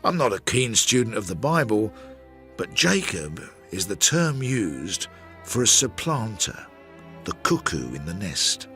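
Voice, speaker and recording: Male narrator for radio drama: reflective Victorian investigator, low register with wide inflection, understated delivery. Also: sing-song, unhurried, pushed and bright, faint music behind, heavily acted. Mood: measured, wry. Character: measured Victorian investigator recounting a failed case.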